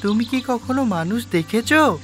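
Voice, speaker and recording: A woman's voice: a little high, expressive, normal speed, soft background music, pitched below the performer's own voice, close to an everyday voice. A woman voicing a curious, cocky young male lion cub in a cartoon, with a dramatic, exclaiming delivery. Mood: curious, amazed.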